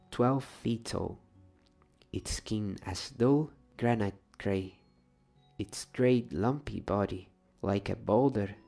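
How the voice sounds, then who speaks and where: A soft-spoken man reading a novel as an ASMR bedtime story in a hushed, deliberate, dramatised way. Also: lowish voice, creaky.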